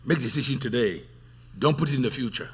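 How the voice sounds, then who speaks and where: A man's voice: medium pitch, fast talker, slightly rough. A male pastor preaching a sermon, emphatic and repeating phrases for stress.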